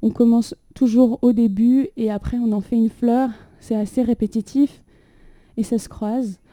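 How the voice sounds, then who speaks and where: A woman's voice: a little high, fairly even intonation, normal speed, warm tone, no room sound. A young woman in casual chat, laughing often and full of fillers, recorded on a phone propped up in a small room. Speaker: poet, host of the reading. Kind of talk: casual chat and poetry reading. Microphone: phone propped on a water carafe.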